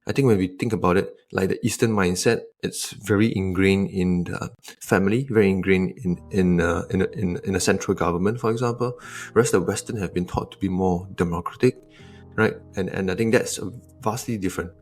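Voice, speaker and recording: A man's voice: low voice, a little fast, faint music behind. A man from Singapore, answering interview questions thoughtfully, often restarting and adding tag questions. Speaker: investor guest.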